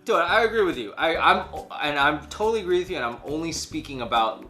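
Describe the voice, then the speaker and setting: Animated man, bright voice. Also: on the high side, fast, soft background music. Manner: animated, slangy, acts out imagined quotes. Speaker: co-host of a news chat show. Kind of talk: casual two-person chat retelling a news story. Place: small furnished room indoors, on a couch.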